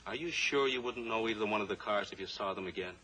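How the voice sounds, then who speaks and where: A man playing a calm, steady rancher-pilot hero. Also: fairly low pitch, quick pace, a crowd in the background, close to an everyday voice, poor audio. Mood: probing. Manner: calm, reassuring and firm. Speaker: lead character. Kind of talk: 1950s radio drama acting.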